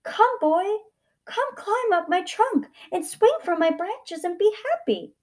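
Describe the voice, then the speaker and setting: A woman's voice: fairly high pitch, very animated, slow pace, exaggerated voice. A woman voicing a loving, generous female tree in a children's story, coaxing and eager to please. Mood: joyful, inviting. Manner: coaxing, giving.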